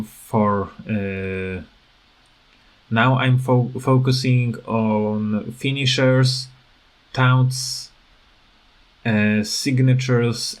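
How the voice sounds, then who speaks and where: A man who speaks English as a second language, with a foreign accent, giving rambling, halting, unscripted commentary as a gaming video creator. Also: lowish voice. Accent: non-native English. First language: not English. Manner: hesitant, halting, repeats words.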